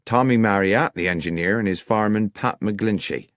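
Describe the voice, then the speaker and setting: A man's voice: on the low side, moderate pace, a bit bright, no echo, studio quality, lightly acted. Man as amiable, plain-spoken railway foreman. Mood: helpful, respectful. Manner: plain-spoken, respectful, working-class turns of phrase. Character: amiable, deferential railway foreman in his fifties.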